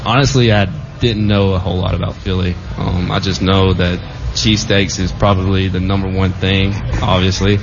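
A young man with a slightly low voice talks casually as a guest on a show, laid-back and halting, with lots of fillers. The audio sounds dull and lo-fi. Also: little pitch movement, noisy background. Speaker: football player guest. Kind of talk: casual interview chat.

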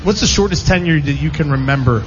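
A man's voice: on the high side, fast talker. A man hosting a radio sports show, chatting casually about the games. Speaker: sports talk show host. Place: live radio broadcast at a casino. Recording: dull lo-fi live pickup, faint noise.

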